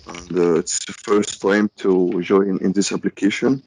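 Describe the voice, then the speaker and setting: A man's voice: sits low, fairly even intonation, unhurried, a little mellow, a little hiss or hum, low-quality recording. A man from Egypt, politely introducing himself in an online class over a video call. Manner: polite, formal self-introduction. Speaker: class participant.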